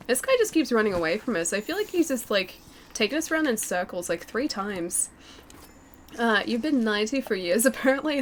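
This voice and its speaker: A woman's voice: on the high side, a little hiss or hum. A woman in her twenties giving casual live game commentary and chatting with viewers in a playful, giggly way. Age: twenty-eight. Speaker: streamer. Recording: game music behind the voice. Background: video game music.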